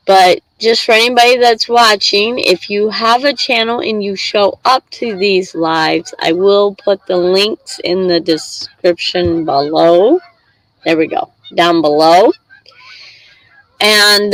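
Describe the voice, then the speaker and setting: Stuffy-nosed middle-aged woman rambling on her live stream, slow and unhurried, dry close sound. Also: mid-range voice, dry recording, poor audio. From Michigan. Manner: chatty, rambling, reads chat aloud. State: congested with a head cold. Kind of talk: casual live stream chat.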